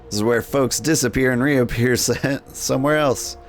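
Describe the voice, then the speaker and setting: Gravelly, wry man playing a game. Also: medium pitch, steady tone, moderate pace, faint music behind. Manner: wry, sarcastic. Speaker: gameplay host. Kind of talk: casual game playthrough commentary.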